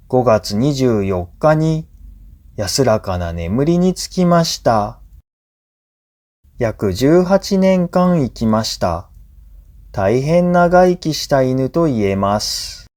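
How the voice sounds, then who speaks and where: A man reading a scripted true story for language learners in a measured, clearly phrased narration. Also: mid-range voice, a little mellow. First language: Japanese.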